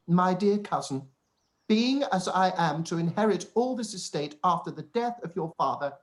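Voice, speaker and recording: A man's voice: high voice, lightly acted, poor audio. An actor playing a pompous, self-satisfied young clergyman, long-winded and formal, in a period radio play. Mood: smug, oblivious. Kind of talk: period drama live-read radio play.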